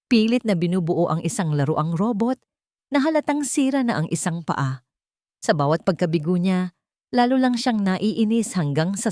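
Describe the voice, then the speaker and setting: A woman's voice: medium pitch, darkish tone, no echo, studio quality. A woman with a Filipino accent reading a scripted dramatic story as its narrator.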